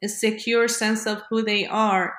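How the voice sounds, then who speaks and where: Female discussion voice, measured and analytical, reflective delivery, noticeably roomy sound. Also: mid-range voice, level tone, fairly bright tone. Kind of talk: reflective explanatory conversation.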